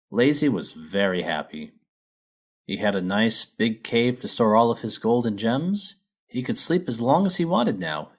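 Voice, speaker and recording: A man's voice: mid-range voice, a bit bright. A man reading a children's story as its narrator, in a scripted storybook style.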